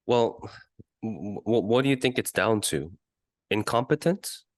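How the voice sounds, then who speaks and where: A man co-hosting a sports podcast in casual discussion, arguing his points haltingly and often repeating words. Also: on the low side, animated, no room sound, studio quality. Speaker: podcast co-host.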